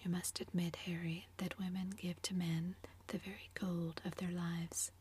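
An ardent young man speaks with quiet conviction in a soft, low whisper, voiced by a woman narrator. The hush stays level throughout, with faint ambience behind. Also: level tone, normal speed, slight background noise, close to an everyday voice. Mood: earnest.

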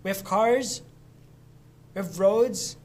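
A man's voice: sits high, slow pace, darkish tone. A young man from Lebanon chatting casually, telling stories in a loose, profane way. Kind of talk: casual chat.

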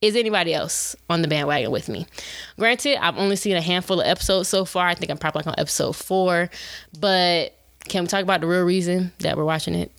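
A woman in her twenties hosting a show, talking in a chatty, animated way and calling her listeners y'all. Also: on the low side, fast talker, no room sound, studio quality. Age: 20s. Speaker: podcast host. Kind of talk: casual chat.

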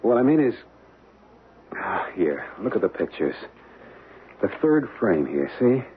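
A breezy young American husband in a tinny, narrow-band vintage radio drama. His voice is lowish and swings freely in pitch. He talks in casual slang with halting starts, reluctant to get involved. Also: sing-song, slight background noise. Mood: wry. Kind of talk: old-time radio drama acting. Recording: band-limited old radio broadcast.

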